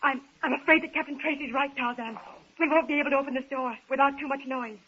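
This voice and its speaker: A woman's voice: sits high, steady tone, fast, sharp tone. An actress playing a refined, anxious but capable young woman in a 1930s radio serial, explaining things with patient urgency. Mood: anxious, urgent. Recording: dull, hissy 1930s radio recording.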